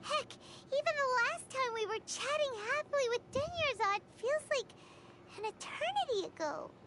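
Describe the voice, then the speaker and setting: A woman voicing a tiny, chatty, excitable fairy-like companion girl in a video game. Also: extremely high pitch. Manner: excitable, exclaiming, quick reactions. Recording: game audio with loud music behind.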